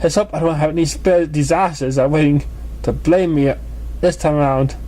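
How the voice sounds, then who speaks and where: A man puts on a vain female pony stage magician, pitched well up. She speaks with grand, theatrical self-importance and self-pity, like a storybook show-off. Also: steady tone, a little fast, mellow, natural voice. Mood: exasperated, self-pitying.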